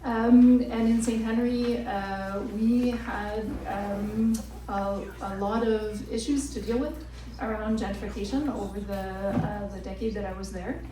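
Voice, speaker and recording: A woman panelist introducing her work, talking in a measured way with many fillers. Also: mid-range voice, steady tone, dark tone. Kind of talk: panel talk. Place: crowded hall. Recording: echoey room, distant mic, faint noise.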